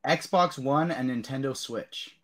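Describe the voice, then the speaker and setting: A man's voice: medium pitch, slow, a crowd in the background. A man hosting and moderating a podcast draft in casual, bantering chat.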